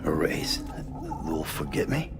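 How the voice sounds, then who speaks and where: A super deep gravelly game hero, gruff n guarded, few words, loud music under him. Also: male voice, sing-song, slow, in a whisper, exaggerated voice. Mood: guarded, worried. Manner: terse, low-key, gruff. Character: gruff, guarded, loyal male courier hero. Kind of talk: video game cutscene acting.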